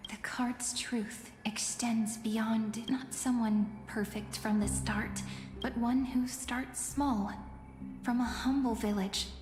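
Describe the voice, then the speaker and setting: A woman playing a gentle young oracle girl in a fantasy game, speaking in a poetic, measured way. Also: a little high, a little hiss or hum. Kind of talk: dramatic fantasy game voice acting. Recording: echoing reverb, prominent music underneath.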